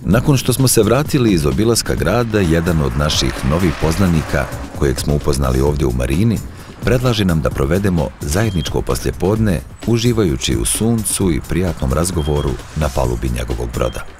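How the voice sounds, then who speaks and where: A man reads a travel documentary voice-over in a measured, storytelling manner. His voice sits very low but moves a lot in pitch. Clear music plays underneath. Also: animated. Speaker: documentary narrator. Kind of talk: scripted voice-over narration.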